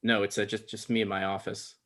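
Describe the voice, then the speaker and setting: A man's voice: fairly low pitch, level tone, fairly quick, a bit bright, natural voice. A man playing an awkward, pedantic but well-meaning talk show host, mild and conversational, in a comedy table read.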